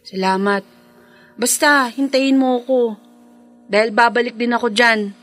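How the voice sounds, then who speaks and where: A woman's voice: on the high side, steady tone, measured pace, fairly bright tone, soft background music. A woman with a Filipino accent playing an earnest, hopeful migrant housemaid in a radio drama, speaking expressively and conversationally. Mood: resigned, reassuring. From Philippines. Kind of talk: classic radio drama acting.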